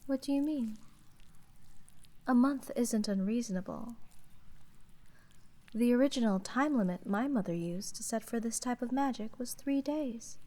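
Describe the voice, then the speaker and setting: A woman voicing an aloof, businesslike sea witch in a fantasy ASMR roleplay, soft and measured, with coolly formal, monotone delivery. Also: on the high side. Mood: dry, mildly incredulous. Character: aloof, businesslike female sea witch, dry-witted deal maker. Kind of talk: slow roleplay ASMR, scripted fantasy monologue.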